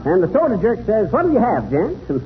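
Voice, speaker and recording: An actor playing a cocky retired army major who spins tall tales, in folksy American 1930s radio comedy style. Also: male voice, high voice, huge pitch swings, brisk. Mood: boastful, folksy. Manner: folksy, boastful, ungrammatical wisecracking. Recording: noisy, tinny 1930s radio recording.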